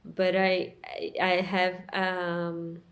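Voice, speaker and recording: Woman, halting English monologue, very slow and soft-spoken. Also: on the low side, completely flat delivery. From Brazil. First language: Portuguese. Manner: halting, searching for words, many pauses and restarts. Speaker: vlogger. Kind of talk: personal reflective monologue.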